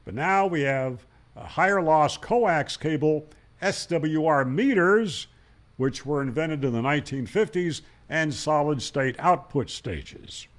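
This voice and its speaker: A man with a fairly high voice and a very lively, swinging intonation. He talks in a folksy, conversational style, like a channel host explaining his hobby and telling stories along the way. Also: measured pace. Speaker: ham radio channel host. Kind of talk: explanatory storytelling to camera.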